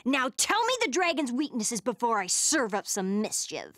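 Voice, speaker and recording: A woman's voice: on the high side, very animated, normal speed, fairly bright tone, no echo, studio quality. A woman voicing a bubbly, mischievous young girl in a fantasy video game. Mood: playful.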